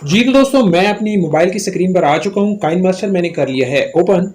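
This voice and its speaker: A man's voice: high-pitched, animated, brisk, a little mellow. A man with a Pakistani accent hosting a step-by-step phone app tutorial. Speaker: host of a tech tutorial channel. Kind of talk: scripted-style phone app tutorial narration.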